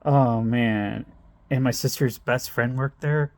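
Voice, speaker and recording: A middle-aged man spins a wandering personal story, breaking off into asides and chuckling at himself. His voice has a gravelly edge, and the recording is dry and close. The delivery is casual and unpolished. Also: mid-range voice, steady tone, takes it slowly, a little mellow, dry recording. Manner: meandering, self-interrupting, laughs at himself. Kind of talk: rambling personal anecdote.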